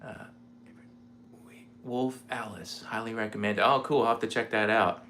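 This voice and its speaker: Mellow-voiced man in his forties chatting. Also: on the low side, a little slow, a little hiss or hum. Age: 40s. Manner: rambling, relaxed, many fillers. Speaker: livestreaming guitarist. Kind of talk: casual livestream chat. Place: home. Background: guitar playing.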